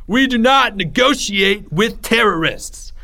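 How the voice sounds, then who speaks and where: Bombastic schoolboy speechmaker, played by a male reader: higher pitch, big swings, pompous and defiant, storybook delivery. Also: big pitch swings, slow pace, full character voice. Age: child. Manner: pompous, declamatory, forceful. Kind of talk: audiobook character voice.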